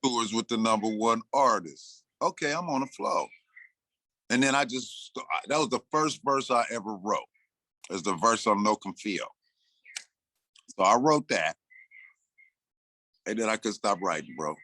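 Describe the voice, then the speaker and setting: Guest: sixty-something man, bright forceful voice, dry close sound, loose storyteller who acts out his own lines. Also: lowish voice, animated, unhurried, long pauses, dry recording. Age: 60s. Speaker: interview guest, rapper and producer. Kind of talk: casual interview talk.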